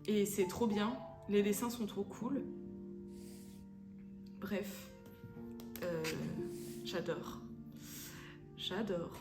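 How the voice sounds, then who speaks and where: A woman's voice: medium pitch, very slow, long pauses. A young woman from France giving casual let's-play commentary, chatty and hesitant with many fillers and English phrases dropped in. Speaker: gaming YouTuber. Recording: echoey room with background music. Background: game music.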